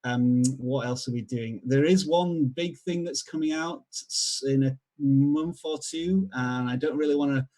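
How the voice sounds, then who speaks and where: Yorkshire male guest, casual and halting, mid-range voice. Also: mid-range voice. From England. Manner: relaxed, hesitant with many fillers, self-deprecating. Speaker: guest expert. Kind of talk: casual online show chat.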